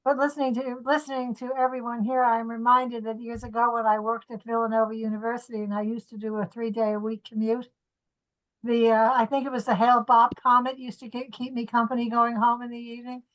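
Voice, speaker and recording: A soft-voiced woman chatting and reminiscing on a video call. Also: on the high side, level tone, a little fast, poor audio. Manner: chatty, reminiscing, light laughs. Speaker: meeting participant. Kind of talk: casual chat on a video call.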